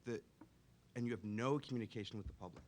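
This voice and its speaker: A man's voice: medium pitch, fast talker, a little mellow, a little hiss or hum. A man speaking as a city government technology advisor on a public forum panel, candid and thinking aloud with frequent restarts. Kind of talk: panel discussion.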